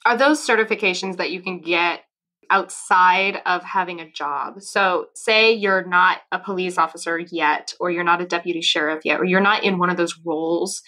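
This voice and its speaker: A woman's voice: fairly low pitch, pushed and bright. A woman from California hosting a podcast interview, asking questions in an upbeat, playful way. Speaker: podcast host.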